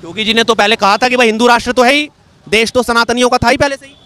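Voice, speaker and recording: A man's voice: very high voice, fast, a bit bright. A man working as a street reporter, pressing people in an argumentative interview and repeating his points insistently. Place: outdoors on a street.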